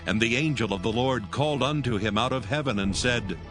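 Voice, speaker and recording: A man's voice: mid-range voice, a little fast, over music. A man narrating scripture as a scripted reading.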